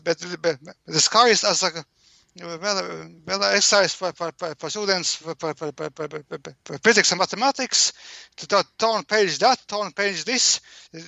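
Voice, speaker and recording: A man explaining as an interview guest, halting and repeating words over and over as he searches for the next one. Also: sits high, gravelly, bright, forceful tone, no echo. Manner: halting, heavily stammered repetitions of words. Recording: dull, lo-fi call-in audio.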